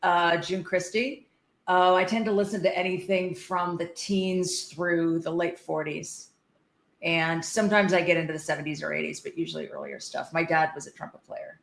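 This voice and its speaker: A woman speaks casually as an interview guest. The sound is clearly roomy and echoey, as on a remote call. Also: on the low side, fairly even intonation, moderate pace. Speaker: podcast guest, psychiatric practitioner.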